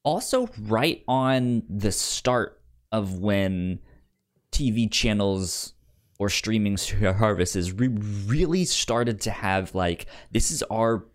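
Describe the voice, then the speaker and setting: A man co-hosting a podcast, chatting casually about television in a halting way, often stammering over the starts of words. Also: fairly low pitch, expressive. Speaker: podcast co-host. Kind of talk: casual chat discussing a TV series.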